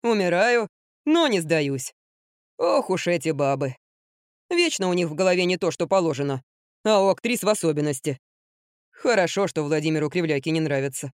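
A woman voicing a practical, good-natured older uncle in an audiobook, grumbling gently and gruffly. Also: on the low side, animated, dry recording, clean studio sound, natural voice. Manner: unhurried, gruffly good-humored.

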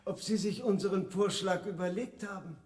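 An actor playing a formal, courteous arms buyer in a German radio drama, speaking in a measured, deliberate way. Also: male voice, high-pitched, a little mellow, natural voice. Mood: measured, businesslike. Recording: roomy, distant from the mic.

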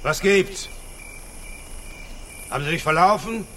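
A man's voice: high voice, slow pace, pushed and bright, a little hiss or hum, heavily acted. An actor playing a wary rural family man in a German radio drama, blunt, bossy and full of questions. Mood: suspicious. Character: gruff, suspicious rural family patriarch with lamp.

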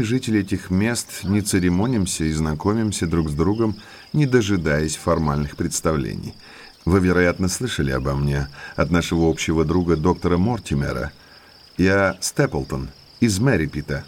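A man's voice: low-pitched, normal speed, darkish tone. An actor playing an affable, inquisitive country naturalist in his thirties in an audio drama, courteous, talkative and slyly probing. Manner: courteous, talkative, insinuatingly polite.

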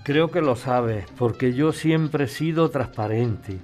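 A man's voice: medium pitch, slow pace, a little mellow, soft background music, lightly acted. A man acting an older, old-fashioned, devoted suitor from in a podcast drama, earnest and a little hesitant. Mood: earnest, tender. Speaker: actor in an audio drama.

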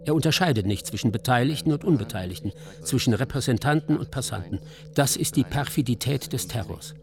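A man narrating a scripted dramatic radio documentary in a solemn, measured, literary manner. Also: medium pitch, animated, creaky.

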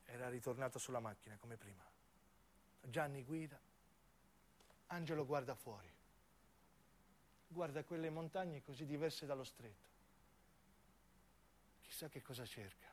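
A man's voice: medium pitch, measured pace, long pauses, a little hiss or hum. A man with a southern Italian accent, an actor narrating in the style of a theatrical monologue.

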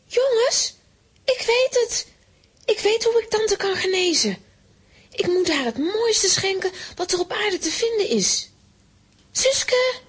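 An excitable young girl heroine of a children's radio play. The voice is extremely high and unhurried, with an earnest, childlike emphasis and a dreamy streak. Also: full character voice. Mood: elated. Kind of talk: children's audio drama acting.

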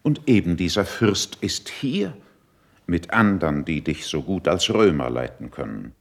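Grave senior courtier, measured and admonishing. Gravelly, widely inflected radio-play verse. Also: male voice, deep voice, sing-song, full character voice. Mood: reasoning, insistent. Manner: deliberate, grave, weighty declamation. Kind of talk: classical German verse radio drama acting.

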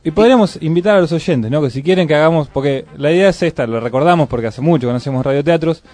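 A man's voice: on the high side, fast, faint music behind. A man with an Argentine accent hosting a community radio culture show, chatting casually and reading out event listings. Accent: Argentine Spanish. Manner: relaxed, reads event listings conversationally. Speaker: radio host.

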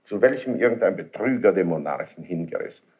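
An actor playing a deferential royal envoy in a classical verse radio drama, speaking formally and ceremoniously. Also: male voice, low voice, animated, a little fast, narrow, tinny audio.

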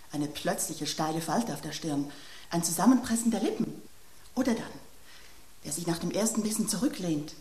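A woman's voice: on the low side, a little mellow, natural voice. An actress playing a dry, analytical woman in a radio drama, listing dangers with insistent emphasis. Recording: roomy, echoing sound.

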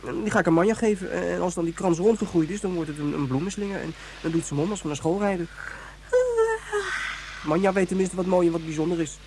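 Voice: medium pitch, fast talker, mellow, natural voice. Indignant child in a noisy radio drama played by an actor. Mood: proud, earnest. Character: young child from Ganymede, indignant, proud, easily homesick. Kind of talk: Dutch science-fiction radio play acting.

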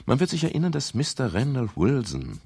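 An actor playing a wry, hard-boiled private detective, narrating his case in a dry, deliberate, ironic way for a radio crime drama. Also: mid-range voice, wildly swooping pitch, darkish tone, husky, dry recording, soft background music, exaggerated voice. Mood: wry, measured. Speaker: first-person narrator.

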